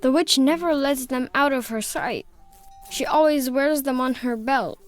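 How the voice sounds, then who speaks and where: A woman's voice: high-pitched, measured pace, faint music behind. A woman voicing a sardonic captive child in a fairy-tale game, wry and matter-of-fact.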